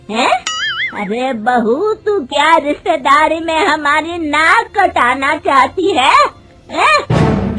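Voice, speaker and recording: A woman's voice: sits high, a bit bright, voice pushed up, heavily acted. A woman voicing a bossy, indignant older mother-in-law in a cartoon family story, scolding and commanding in exclamations.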